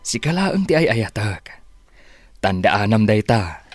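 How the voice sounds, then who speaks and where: An actor playing an earnest, soothing lover in a romantic radio drama. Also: male voice, lowish voice, sing-song, slow, dry recording, soft background music, full character voice. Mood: tender.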